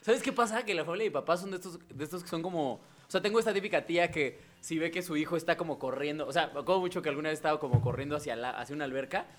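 A man's voice: on the high side, rapid-fire, hardly any pauses. A Mexican man with a Mexican accent hosting a casual podcast, full of crude jokes and banter. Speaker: podcast host.

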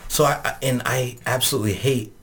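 A man's voice: mid-range voice, fairly even intonation, brisk, a bit bright. A man hosting a casual group podcast, joking and ribbing his friends, with frequent swearing. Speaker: podcast host.

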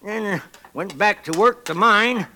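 An actor playing a toothless, stubbornly hopeful old prospector in a 1950s radio western, gravelly and rambling in a folksy American frontier drawl. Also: male voice, sits high, a little slow, pushed and bright, exaggerated voice. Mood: boastful, insistent. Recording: noisy 1950s radio recording.

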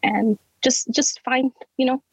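A woman's voice: sits high, steady tone, slow, darkish tone, husky, dry recording. A young woman, a university student, speaking as a podcast guest in an earnest, self-deprecating way. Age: young adult. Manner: earnest, self-deprecating, many fillers and restarts. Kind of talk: casual interview conversation.

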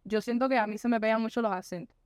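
A woman's voice: a little high, very fast, a little mellow, no room sound, studio quality. Chatty young woman mixing Spanglish. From Cuban background. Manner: mixes Spanish and English, chatty, self-reflective. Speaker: podcast guest, content creator. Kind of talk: casual chat.

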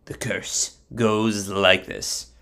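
A man playing a reluctant, greedy, easily flustered curse-maker in a told story, with an excitable, hesitant manner. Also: medium pitch, slow pace. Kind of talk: storybook character voice.

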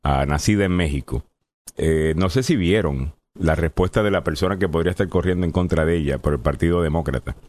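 A man's voice: sits low, fairly quick, darkish tone. A man hosting a morning radio show, chatting casually with his cohosts and crew, with hesitant fillers and loose jokes.

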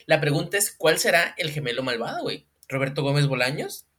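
A man's voice: fairly high pitch, brisk, slightly rough. A man with a Mexican accent co-hosting a casual comedic chat about viral news, slangy and laughing often. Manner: animated, slangy, laughs often, mimics voices. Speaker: co-host.

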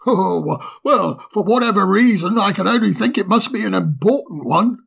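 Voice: medium pitch, animated, normal speed, creaky, fairly bright tone, slightly rough, exaggerated voice. A storyteller voicing a kindly, pompous old male owl professor who chuckles and stammers fondly. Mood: genial. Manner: chuckling, hesitant, fond of "my dear boy". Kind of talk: storybook reading.

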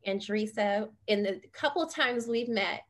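A woman hosting a casual panel chat, talking in an expressive, effusive way and laughing easily. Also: medium pitch, normal speed. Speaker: podcast host.

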